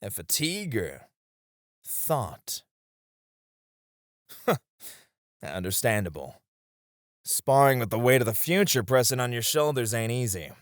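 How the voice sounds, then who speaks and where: A weary warrior with a soft heart , acted by a man for an intimate fantasy romance roleplay. He speaks slowly and wistfully, with a teasing edge and a very expressive, swinging melody. The sound is dry and intimate. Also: medium pitch, no echo. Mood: playful, exerted. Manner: soft, wistful, with breathy chuckles and sighs. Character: weary young male warrior, tender, teasing sparring partner.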